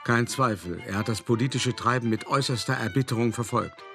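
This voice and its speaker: A man's voice: mid-range voice, fairly quick, creaky, sharp tone. An actor playing a worldly, cultured older art dealer who narrates his memories in a radio play, conversational and wry, addressing the listener directly. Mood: reflective. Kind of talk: first-person radio play monologue. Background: music.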